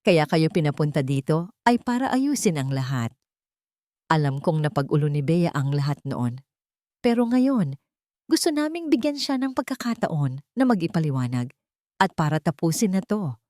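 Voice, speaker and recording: A woman's voice: sits low, sing-song, normal speed, mellow, no room sound, studio quality. A woman voicing a stern, proud, wealthy older father in an audio love drama, hard and commanding.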